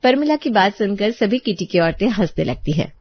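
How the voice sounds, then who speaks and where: A woman's voice: medium pitch, sing-song, normal speed, muffled recording, slight background noise. A woman storyteller reading scripted narration for an audio drama.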